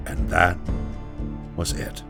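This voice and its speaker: A man playing a guilt-worn labor camp inmate, telling his story in a halting, profane, brooding way with a gravelly voice, as an audio drama monologue. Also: lightly acted. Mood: grim, brooding. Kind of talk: first-person confessional audio drama monologue. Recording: music under the voice.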